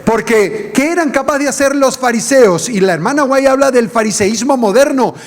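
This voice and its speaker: Canary islands pastor guy, middle-aged, pretty high voice for a man, earnest preaching, keeps asking the crowd questions. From Canary Islands, raised in Venezuela. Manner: earnest, fires rhetorical questions at the congregation. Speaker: guest pastor. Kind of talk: evangelistic sermon.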